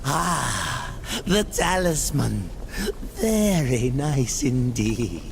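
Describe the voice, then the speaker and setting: An actor playing a cunning, greedy demon merchant in a video game, with an oily, theatrical, drawn-out delivery. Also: male voice, mid-range voice, big pitch swings, creaky, a little hiss or hum, heavily acted. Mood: greedy relish. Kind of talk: dramatic video game cutscene acting.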